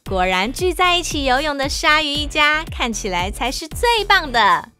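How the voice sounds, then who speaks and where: A woman's voice: high-pitched, big pitch swings, normal speed, bright, forceful tone, heavily acted. A woman playing a friendly storyteller, narrating a children's cartoon with a Taiwanese Mandarin accent. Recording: children's music behind.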